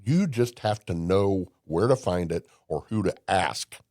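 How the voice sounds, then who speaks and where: A man's voice: deep voice, expressive, a little slow, a little mellow, no room sound, studio quality. An older man from Indiana, a podcast guest telling folksy stories with emphasis and frequent restarts. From Indiana, USA. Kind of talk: casual conversation.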